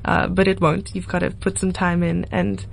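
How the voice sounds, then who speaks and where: A woman's voice: fairly low pitch, level tone, moderate pace, creaky, slight background noise. A woman in her twenties from Cape Town with a South African accent, speaking earnestly and reflectively as a musician guest in a casual radio interview. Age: 20s. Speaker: singer-songwriter guest.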